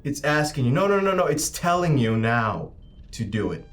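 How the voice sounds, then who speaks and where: Man playing a slick, menacing company agent, animated. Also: mid-range voice, normal speed, a little hiss or hum. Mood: indignant, bullying. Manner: oily, cajoling, drops to whispered threats. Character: slick, menacing male corporate agent, bribing and threatening crew. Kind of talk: improvised tabletop roleplay acting.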